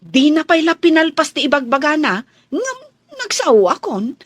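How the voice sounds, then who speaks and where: A woman acting in a radio drama as a chatty, cheeky young housemaid with a playful, drawn-out way of talking. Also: high-pitched, sing-song, no room sound. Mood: cheeky, insistent.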